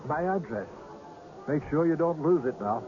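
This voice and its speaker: A man's voice: on the high side, animated, normal speed, natural voice. Actor as genteel old mystery man, lilting delivery. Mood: courteous. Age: older. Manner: genteel, hesitant, precise, softly knowing. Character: polite, mysterious old scout of seers. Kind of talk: radio drama acting. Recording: dull, noisy old radio broadcast.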